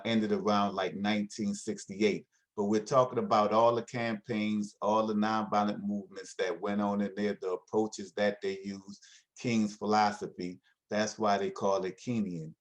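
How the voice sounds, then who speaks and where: A man explaining ideas about nonviolence in an earnest, conversational way, speeding up when he presses a point. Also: on the low side. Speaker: nonviolence trainer. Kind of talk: explanatory talk.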